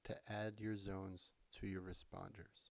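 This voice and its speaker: A man narrating a software tutorial in a casual, conversational way, explaining things step by step as an instructor. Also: low-pitched, flat delivery, measured pace, vocal fry. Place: voice-over for a screen recording.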